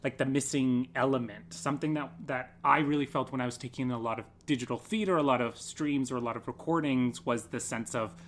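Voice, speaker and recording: Male interview guest, medium pitch, conversational and enthusiastic explainer. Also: steady tone, fairly quick, few pauses, a bit bright. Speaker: podcast guest, theatre creator. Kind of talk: casual interview chat.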